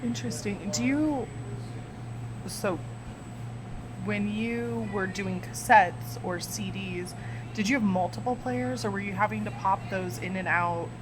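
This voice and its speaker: A woman's voice: mid-range voice, measured pace. A woman hosting a casual podcast chat, asking curious follow-up questions. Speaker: podcast host. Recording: noisy background.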